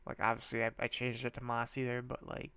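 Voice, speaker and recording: A man's voice: mid-range voice, monotone, fast, pushed and bright, clean tone, no room sound. Stuffy-nosed man rambling over gameplay. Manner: rambling, loose, trails off and restarts often; slangy and laid-back. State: congested, stuffy nose. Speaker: gameplay video host. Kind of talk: casual let's-play commentary, thinking aloud.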